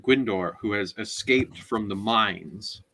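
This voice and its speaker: Slow, halting man with a forceful tone. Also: lowish voice, rough recording. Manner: halting, searching for words. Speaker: podcast co-host. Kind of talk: casual book discussion and plot recap.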